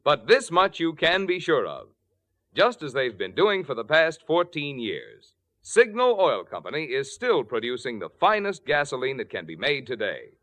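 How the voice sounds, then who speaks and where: A man's voice: mid-range voice, wildly swooping pitch, normal speed, a bit bright. A man with an American accent announcing commercials and credits in 1940s radio style. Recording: 1940s radio broadcast with faint music.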